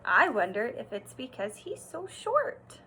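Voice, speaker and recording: A woman kindergarten teacher reads aloud to young children in a bright voice. She goes very slowly, leaning on key words and stopping to explain them or ask a simple question. Her pitch sits a little high, and her delivery is expressive. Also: a little hiss or hum.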